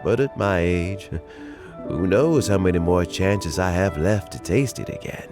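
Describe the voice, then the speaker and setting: An actor playing an elderly, kindly mentor official in a video game, speaking in a gentle, reflective and deliberate way. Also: male voice, deep voice, over music. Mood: fond, savoring. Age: older. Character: elderly kindly mentor official nearing retirement, fond of fishing. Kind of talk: video game dialogue acting.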